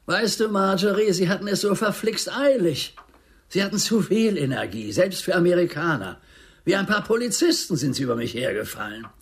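An actor playing a flustered, talkative London theatre agent in a German radio play, polite and wordy with old-fashioned phrasing. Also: male voice, sits high, lively intonation, normal speed. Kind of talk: German radio drama acting.

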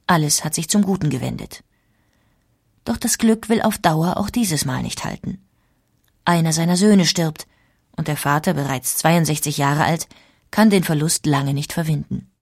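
Low-voiced female documentary narrator. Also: normal speed, gravelly, a little mellow, husky, no room sound, studio quality.

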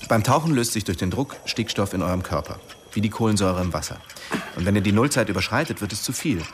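An actor playing a strict, matter-of-fact diving instructor of about forty in a naturalistic radio drama. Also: male voice, lowish voice, lively intonation, quick pace, slight background noise, lightly acted. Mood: instructing. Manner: instructive, firm.

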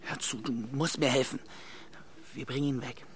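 An actor playing a stressed, suspicious husband in his forties, hushed and urgent, often slipping into whispers in a naturalistic radio drama. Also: medium pitch, fairly even intonation, normal speed, a little hiss or hum, natural voice. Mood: conspiratorial. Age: 40s.

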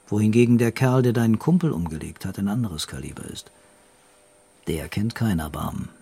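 An actor playing a tough, weary police investigator in a German radio crime drama, blunt and interrogating. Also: male voice, on the low side, animated, brisk, creaky, mellow, husky, exaggerated voice. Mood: stern warning.

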